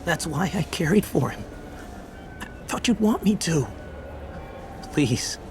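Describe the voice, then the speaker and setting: An actor playing a frightened young street informant in a video game, jittery and stammering. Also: male voice, on the high side, animated, slow, background noise. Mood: panicked, pleading.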